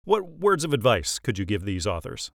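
A man's voice: mid-range voice, very animated, moderate pace, vocal fry, fairly bright tone. A man hosting an author interview show in a polished radio style, with an upbeat announcer's delivery.

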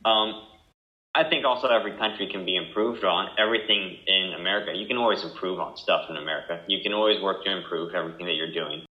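Teen boy, panelist, lowish bright voice, calm and matter-of-fact, dull echoey recording. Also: fast. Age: teens. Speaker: discussion participant. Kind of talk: casual group discussion.

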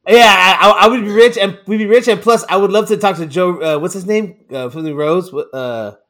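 Excitable, stumbling man, fairly high voice. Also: brisk. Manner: excitable, laughs a lot, stumbles over repeated words. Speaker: podcast co-host. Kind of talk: casual chat.

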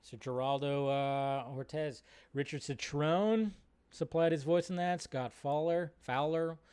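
A man's voice: a little high, steady tone, a little slow, darkish tone, clean tone. A man hosting a livestream, giving casual, enthusiastic and exclamatory reaction commentary in an informal way. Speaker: livestream host.

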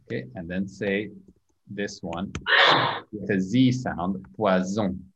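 A man teaching French pronunciation in an online group class, patiently repeating words slowly for learners. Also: low-pitched, darkish tone, breathy edge, dull audio.

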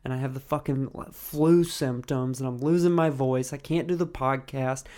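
A man's voice: mid-range voice, steady tone, normal speed, darkish tone. A man co-hosting a podcast, telling a crude, self-mocking story in casual chat. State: sore and recovering from illness. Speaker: podcast co-host.

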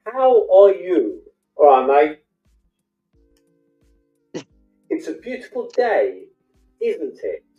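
A man slowly saying sample phrases in a drawn-out, sing-song way. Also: high-pitched, huge pitch swings, faint music behind. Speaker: accent demonstrator in a played clip. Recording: roomy, distant, dull playback.

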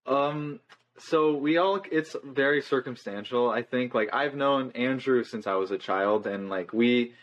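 A man's voice: mid-range voice, a little slow, dull audio, slight background noise. A nineteen-year-old man, a band guest, telling stories in a loose, rambling way full of "like" and "um". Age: young adult. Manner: rambling, loose, many fillers. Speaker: guest musician. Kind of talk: casual interview chat.

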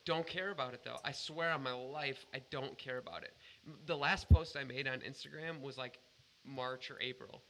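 Bright-voiced, earnest man chatting on a podcast. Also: medium pitch, steady tone, normal speed, a little hiss or hum. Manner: earnest, emphatic, heavy swearing. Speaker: podcast co-host. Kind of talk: casual podcast chat.

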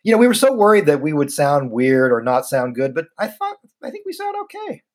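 A man's voice: a little high, wildly swooping pitch, fairly quick. A middle-aged man with a slight Southern American accent co-hosting a podcast in easygoing casual chat over a video call, laughing often. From United States. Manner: easygoing, laughs often, repeats words when starting. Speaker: podcast co-host.

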